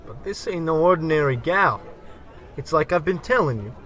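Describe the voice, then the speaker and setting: A man's voice: on the high side, lively intonation, moderate pace, fairly bright tone. A man playing a slangy, wisecracking working-class barfly in an old-time comedy radio play. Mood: cocky. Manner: slangy tough-guy patter. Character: wisecracking 1940s-style working-class barfly, excitable, easily panicked.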